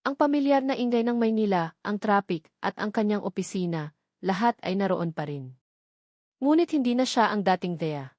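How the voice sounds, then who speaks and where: A woman's voice: medium pitch, animated, moderate pace, a little mellow, no room sound, clean studio sound. A woman with a Filipino accent reads a scripted romance story as its narrator, in a dramatic storytelling manner.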